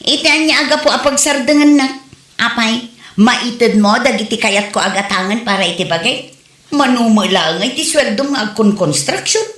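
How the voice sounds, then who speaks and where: A woman's voice: on the high side, moderate pace, fairly bright tone, natural voice. A woman with an Ilocano accent playing a busy, easily exasperated working wife in an audio comedy drama. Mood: irritated. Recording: echoey room.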